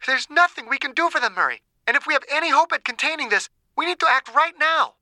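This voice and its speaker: A woman playing an urgent, desperate scientist in an audiobook drama, blunt and forceful in her delivery. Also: sits high, expressive, quick pace, dry recording. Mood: urgent, grim.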